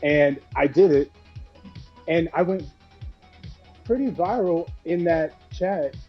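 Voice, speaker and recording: A man's voice: fairly high pitch, lively intonation, slow, breathy edge, muffled recording, soft background music. A man chatting casually as a guest about starting his small business. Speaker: guest, candle company founder. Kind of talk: casual chat on a livestream.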